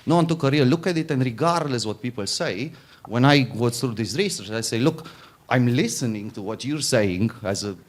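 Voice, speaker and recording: A man's voice: medium pitch, lively intonation, normal speed. A middle-aged man from Romania, giving an informal conference talk, often restarting and repeating words. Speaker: conference speaker, fund founder.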